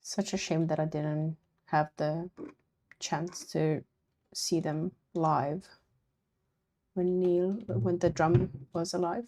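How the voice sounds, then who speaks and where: A woman with an Italian accent, streaming as she draws, chatting quietly in murmured asides, her voice slightly croaky today. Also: deep voice, flat delivery, slow pace, pauses often. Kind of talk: casual live-stream chat while drawing.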